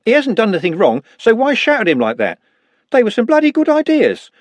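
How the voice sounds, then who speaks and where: A man voicing a brash, blunt young working-class man in an audiobook, slangy and defensive, with a husky voice. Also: extremely high pitch, wildly swooping pitch, brisk, dry recording, voice pushed up, full character voice. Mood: indignant.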